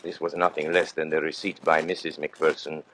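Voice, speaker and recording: Guy doing low grave narrator for an old radio mystery, formal and measured, slight hiss. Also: flat delivery, fairly bright tone. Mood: grave, suspenseful. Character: grave male storyteller recounting a mystery.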